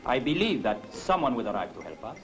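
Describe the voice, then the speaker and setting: A man's voice: medium pitch, big pitch swings, brisk, lightly acted. Guy playing anxious polite spanish man welcoming people, accented, old 60s movie, faint music behind. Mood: welcoming, hopeful. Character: newly blind Spanish husband, hospitable, anxious expectant father. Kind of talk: 1960s film acting. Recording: 1960s film soundtrack, faint music.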